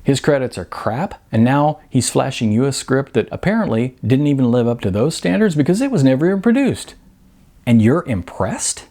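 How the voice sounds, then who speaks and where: Audiobook role: exasperated young male snob, acted by a male narrator, sardonic, argumentative, animated delivery. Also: medium pitch, normal speed, voice pushed up. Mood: dismissive. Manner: sarcastic, argumentative.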